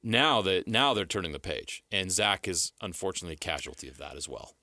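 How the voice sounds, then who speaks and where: A man's voice: low voice, sing-song, brisk, pushed and bright, dry recording. A man co-hosting sports radio, giving assured explanations and answering his own questions. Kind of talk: sports talk analysis. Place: radio studio.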